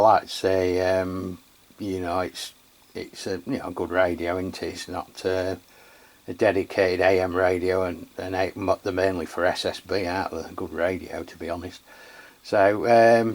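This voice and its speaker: A man's voice: sits low, moderate pace. An English man with an English accent, chatting casually with fillers while testing a CB radio. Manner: relaxed, hesitant, many fillers. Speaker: CB radio reviewer. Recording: radio on-air test.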